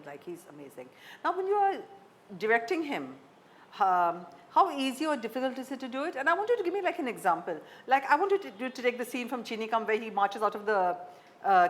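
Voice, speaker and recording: A woman with an Indian accent interviewing conversationally as a journalist, asking long questions that she keeps rephrasing. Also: a little high. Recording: faint background noise.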